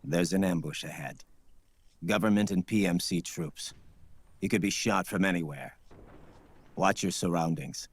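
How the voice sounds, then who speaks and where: An actor playing a mysterious, cold and detached warrior ally in a video game, speaking gravely and cryptically. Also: male voice, deep voice, measured pace, pauses often, slight background noise. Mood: grave, warning. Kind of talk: dramatic video game voice acting.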